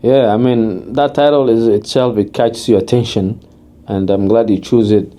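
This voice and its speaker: A man's voice: lowish voice, steady tone, normal speed, warm tone. A man hosting a podcast, asking his guest questions in a friendly, loose way with lots of fillers. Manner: friendly, loose, says you know a lot. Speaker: podcast host. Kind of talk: casual podcast interview.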